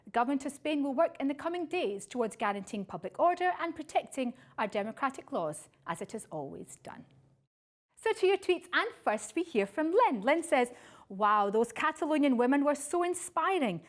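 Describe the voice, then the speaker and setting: A woman presenting a current affairs show, reading scripted introductions and messages in a measured presenter's delivery. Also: high-pitched, sing-song.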